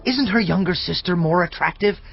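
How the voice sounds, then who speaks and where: An actor playing an anxious, appeasing father-in-law in a dramatized scripture production, excitable and placating. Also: sits high, fairly quick, gravelly. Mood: flustered, placating. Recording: dull lo-fi audio, music behind.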